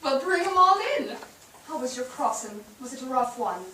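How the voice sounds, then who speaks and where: In a very echoey hall, a woman plays a doting, pious mother. Her high, swooping voice is tender and fussing, full of exclamations and questions. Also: expressive, moderate pace, sharp tone. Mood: tender relief. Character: devout, relieved, fussing mother of immigrant boy. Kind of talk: staged play reading. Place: theatre stage. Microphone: distant microphone. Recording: very echoey, distant, noisy stage pickup.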